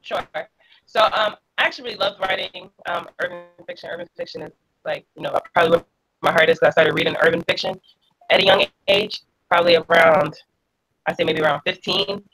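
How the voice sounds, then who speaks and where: Woman author, sick with a cold, raspy and gravelly, casual filler-heavy talk. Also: on the low side, expressive, fairly quick, fairly bright tone, poor audio. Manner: casual, many fillers like "like" and "you know". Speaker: author, guest. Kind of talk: casual video-call chat.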